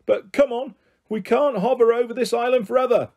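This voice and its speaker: A man's voice: very high-pitched, fairly even intonation, brisk, a little mellow, pitched above the performer's own voice. A man voicing an exasperated male police chief inspector in a children's storybook, curt and impatient.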